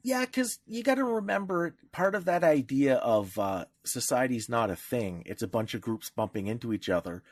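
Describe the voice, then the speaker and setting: A man's voice: mid-range voice, expressive, moderate pace, hardly any pauses, no room sound. A man co-hosting a podcast in casual discussion, talking in a blunt, irreverent way. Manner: blunt, irreverent, freely profane. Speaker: podcast co-host.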